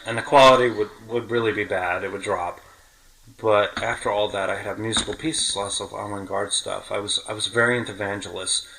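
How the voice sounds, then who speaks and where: A man's voice: on the low side, fairly even intonation, brisk, gravelly, bright, forceful tone, a little hiss or hum, low-quality recording. A middle-aged man hosting a podcast, reminiscing casually in detail and correcting himself as he goes. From New York City. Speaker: podcast host.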